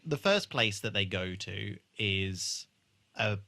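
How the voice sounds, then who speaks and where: A man with a clear-cut, bright voice co-hosts a podcast. He reviews television in loose, conversational talk, peppered with swearing. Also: fairly low pitch, animated, slow. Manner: informal, sweary. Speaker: podcast host. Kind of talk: casual chat reviewing a TV episode.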